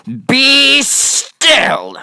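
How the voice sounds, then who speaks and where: Man playing mischievous storybook boy, bossy, defiant, commanding exclamations, slow drawn-out words, dry sound. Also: very high voice, wildly swooping pitch, creaky, bright, forceful tone, no room sound, pitched above the performer's own voice, heavily acted. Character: mischievous, bossy little boy in a wolf suit.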